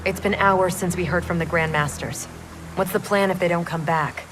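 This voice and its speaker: A woman's voice: fairly low pitch, fairly even intonation, normal speed, music in the background, natural voice. A woman playing a worried, outspoken young soldier in a video game, speaking in a direct, earnest, everyday way. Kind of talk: dramatic video game acting.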